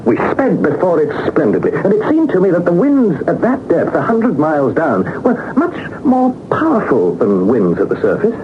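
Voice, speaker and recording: An earnest young explorer in an old radio serial. His fairly high, lively voice tells of the voyage in measured, dramatic phrases through a thin, noisy recording. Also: lo-fi sound. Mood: solemn wonder. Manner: measured, literary, dramatic storytelling. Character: earnest young nephew narrating his expedition log. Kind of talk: 1960s radio drama narration.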